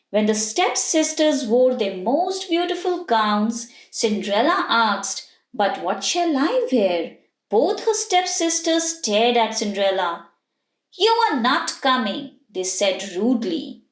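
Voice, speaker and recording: A woman narrating a children's bedtime fairy tale in an expressive storybook style. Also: a little high, echoey room.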